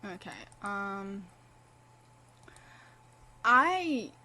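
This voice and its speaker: A woman's voice: medium pitch, expressive, extremely slow, pushed and bright, low-quality recording. A young woman streaming a game in casual commentary, blunt, profane and impassioned as she talks.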